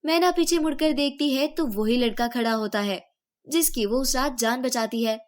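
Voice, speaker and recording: A woman narrating a moral story in an even, storytelling delivery. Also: high voice, moderate pace.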